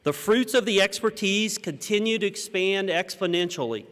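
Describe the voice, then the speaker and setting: An American man in his fifties giving a prepared conference keynote at a podium, with measured, rhetorical delivery and deliberate pauses. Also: high-pitched, a bit bright. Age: 50s. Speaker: keynote speaker. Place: large conference hall stage, indoors. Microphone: podium microphone. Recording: reverberant hall sound.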